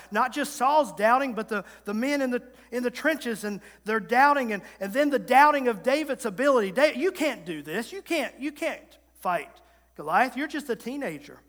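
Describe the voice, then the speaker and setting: A man in his thirties delivers a sermon with a notably high, bright voice, driving home points through repetition and quick asides to his listeners. His words ring out with the long reverb of a large hall. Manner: emphatic, repetitive preaching with call-and-response asides. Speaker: preacher.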